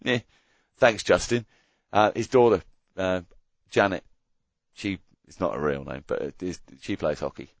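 A man's voice: sits low, lively intonation, unhurried, long pauses, no echo. A man hosting a podcast, chatty and jokey, who sometimes loses his thread. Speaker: podcast host. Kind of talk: casual podcast chat. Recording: dry, slightly dull sound.

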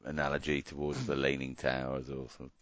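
A man's voice: very deep voice, completely flat delivery, fairly quick, fairly bright tone, no room sound, lo-fi sound. A man co-hosting a hockey podcast, chatting casually with joking asides and frequent restarts. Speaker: podcast co-host. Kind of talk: casual sports chat.

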